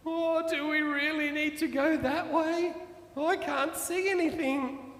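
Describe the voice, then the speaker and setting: Man playing a female family car, timid, anxious questions, storybook, high pitch, hall reverb. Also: fairly even intonation, slow, fairly bright tone, heavily acted. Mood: nervous. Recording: echoey hall, long reverb.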